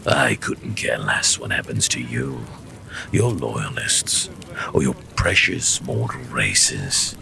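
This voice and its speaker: An actor playing an arrogant, resentful male fire-primal warlord in a fantasy audio drama, sneering and boastful, with a gravelly voice. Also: extremely low pitch, big pitch swings, slow, bright, forceful tone, a little hiss or hum, heavily acted. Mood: cold fury.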